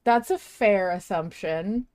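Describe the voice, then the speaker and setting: A woman's voice: medium pitch, slow, a little mellow. A woman hosting a podcast, talking in a casual, conversational way. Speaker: podcast host.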